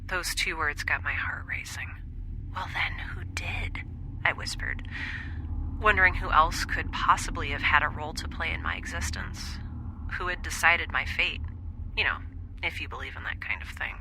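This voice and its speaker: A woman playing a wry, anxious young scientist in space, confiding haltingly as if recording a personal log for an audio drama. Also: deep voice, lively intonation, pushed and bright, whispered, exaggerated voice, low-quality recording. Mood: pensive, confiding. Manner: conversational, halting, confiding, self-deprecating.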